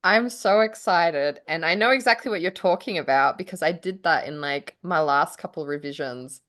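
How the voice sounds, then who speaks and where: A woman with a slightly low voice talks casually as one writer to another. She tells her stories effusively and with animation, and swears lightly as she goes. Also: moderate pace, fairly bright tone. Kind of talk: casual chat between writers.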